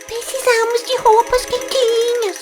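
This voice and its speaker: A performer voicing a timid, curious little female companion in a children's cartoon, with a childlike, drawn-out delivery. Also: very high voice, flat delivery, music in the background.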